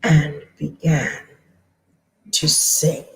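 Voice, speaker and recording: A woman's voice: low-pitched, monotone, drawn-out words, vocal fry, breathy edge, soft background music. A woman telling a children's story in a dramatic manner, repeating words for effect.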